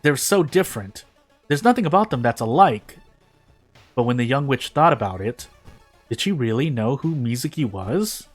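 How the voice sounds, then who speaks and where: A man's voice: mid-range voice, big pitch swings, normal speed, soft background music. A man reading a fan fiction story aloud in a dramatic narrating style.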